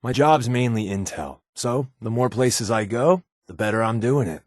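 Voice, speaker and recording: An actor playing a wry, guarded undercover resistance agent in a video game, conversational and confiding, with a slightly husky voice. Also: male voice, mid-range voice, normal speed, darkish tone, no room sound, studio quality, close to an everyday voice. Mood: wry, easygoing. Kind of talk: naturalistic video game companion dialogue.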